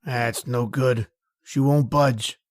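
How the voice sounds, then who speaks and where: A cold, controlling killer on the run played by a male actor in a vintage radio thriller. His voice is mid-pitched, and he talks in terse, tough-guy phrases with quiet menace. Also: steady tone, slow pace, no room sound, clean studio sound, natural voice. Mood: brusque. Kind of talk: old-time radio crime drama acting.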